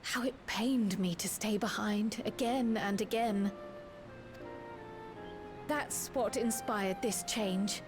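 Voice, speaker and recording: An actress playing an earnest, polite, gentle young female mage in a video game, with formal, measured phrasing. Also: mid-range voice, over music, natural voice. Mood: earnest, wistful.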